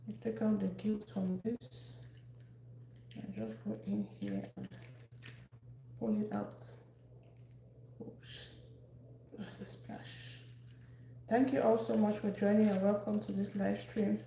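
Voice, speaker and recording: A woman from Cameroon, hosting a casual cooking live stream and chatting warmly with viewers by name as she cooks in her kitchen. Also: medium pitch, expressive, takes it slowly, gravelly, long pauses. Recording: echoey room, faint background noise.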